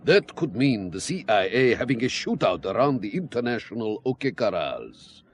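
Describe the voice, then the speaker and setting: An actor playing a courtly, philosophical Russian intelligence officer in an audio drama, with a deliberate, florid manner. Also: male voice, mid-range voice, sing-song, slight background noise, lightly acted. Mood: grave, confiding. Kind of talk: spy audio drama acting.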